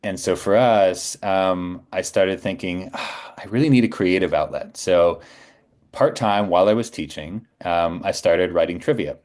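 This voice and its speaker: A man's voice: low-pitched, measured pace, a little mellow. A middle-aged man telling stories in a casual, conversational way as a podcast guest.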